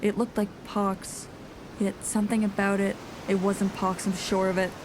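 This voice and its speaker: A woman's voice: mid-range voice, level tone, moderate pace, noisy background, close to an everyday voice. A woman playing a grave, haunted nineteenth-century sea captain reading a log in solemn, formal diction for an audio drama. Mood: grave, uneasy. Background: music.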